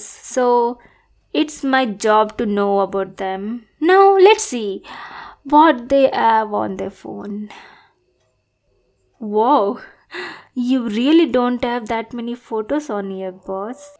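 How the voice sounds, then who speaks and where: A woman playing an obsessive, lovestruck bodyguard in an audio roleplay, sweet and awkwardly gushing as she talks to her boss. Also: on the high side. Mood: sweetly bossy. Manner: sweet, adorkable, slow, gushing then backpedalling. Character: obsessive, lovestruck young female bodyguard, sweetly bossy and possessive. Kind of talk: acted audio roleplay addressed to listener.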